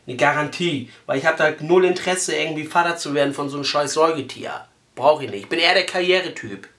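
A young man with a fairly high voice in an echoey room. He talks casually and colloquially, brash and crude, as if making an earnest phone enquiry. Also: fast talker, fairly bright tone. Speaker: prank call host. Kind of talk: casual prank phone call. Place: small living room, indoors.

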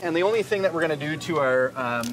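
Easygoing chef talking fast in a store. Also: male voice, a little high, animated. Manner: easygoing, laughs often, calls guest "man". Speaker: chef and cooking segment host. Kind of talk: casual cooking demonstration chat. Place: indoors in a large grocery store. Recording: distant mic with store background noise.

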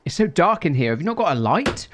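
A man's voice: fairly high pitch, big pitch swings, fast talker, fairly bright tone, slight background noise. A British man in his thirties giving casual let's play commentary and reading out dispatcher lines. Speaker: gaming video host. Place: small indoor room.

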